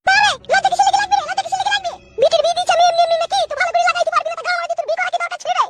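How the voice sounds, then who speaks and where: A woman's voice: very high-pitched, steady tone, rapid-fire. A woman voicing a brash, nagging middle-aged village mother in a bawdy cartoon. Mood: indignant scolding. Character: shrill, foul-mouthed, scolding middle-aged village mother. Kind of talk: bawdy cartoon comedy acting.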